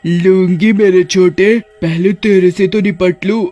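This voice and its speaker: A woman voicing a wicked, cunning witch who taunts and cackles in an animated horror story. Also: mid-range voice, slow pace, lo-fi sound, faint music behind. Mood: gleeful, menacing. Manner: taunting, cackling between phrases.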